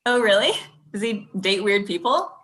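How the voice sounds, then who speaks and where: Actress as wry, polite girlfriend, thirty, animated intonation, comedy script read. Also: medium pitch, normal speed, natural voice. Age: young adult.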